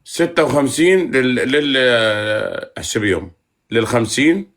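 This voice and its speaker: A man's voice: medium pitch, a little slow, a bit bright. A man with a mid-range voice chats casually on a live stream. He teases and bargains as he goes, often doubling back on the same phrase. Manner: teasing, bargaining, repeats himself. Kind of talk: casual bantering live chat.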